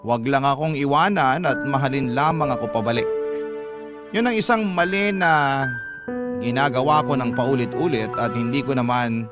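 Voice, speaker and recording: A man's voice: mid-range voice, moderate pace. A man narrating a scripted first-person dramatic story in the style of a radio storyteller. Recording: loud music under the voice. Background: loud music.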